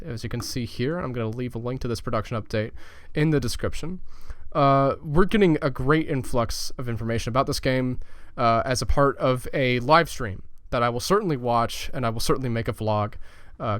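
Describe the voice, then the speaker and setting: Blunt, chatty young gaming vlogger. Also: male voice, mid-range voice, a bit bright, no room sound, clean studio sound. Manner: blunt, profane, loose and chatty with many fillers. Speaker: gaming YouTuber. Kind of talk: casual channel update vlog.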